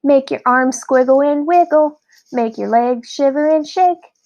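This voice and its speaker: Young quokka schoolboy, played by a woman: high squeaky voice, eager and curious, storybook read-aloud. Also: a little slow, a little mellow, muffled recording. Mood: playful.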